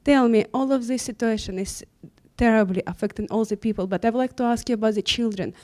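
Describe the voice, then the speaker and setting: Woman, Ukrainian accent, mellow voice, earnest, audience questioner. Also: a little high, fast talker. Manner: earnest, with non-native phrasing. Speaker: audience member asking a question.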